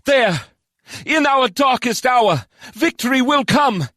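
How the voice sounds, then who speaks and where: A man's voice: very high voice, animated, slow pace, a bit bright, dry recording, studio quality, pitched above the performer's own voice, heavily acted. A man voicing a woman bard warrior in an audiobook, wistful yet fervent, with an earnest, declamatory delivery. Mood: rousing, commanding. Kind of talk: audiobook character voice.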